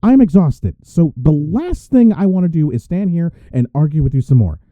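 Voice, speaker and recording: A man's voice: a little high, expressive, moderate pace, warm tone, no echo. A man playing a tired, exasperated, sarcastic workplace rival in a romantic audio roleplay, muffled as if through a door. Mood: exasperated, weary. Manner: grumbling, sarcastic. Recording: muffled, phone-like narrow band, dry.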